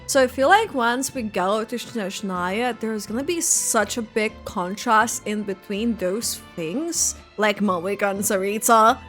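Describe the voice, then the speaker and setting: A young woman giving casual game reaction commentary, giggly and rambling in a halting way. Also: medium pitch, a bit bright, soft background music. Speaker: game streamer.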